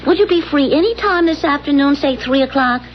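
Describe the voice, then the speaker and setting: An actress playing an earnest, coaxing, insistent wife in a 1970s radio drama. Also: female voice, sits high, fairly quick, close to an everyday voice. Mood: nervous, polite. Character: eager, insistent, polite young wife. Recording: dull, noisy old radio broadcast recording.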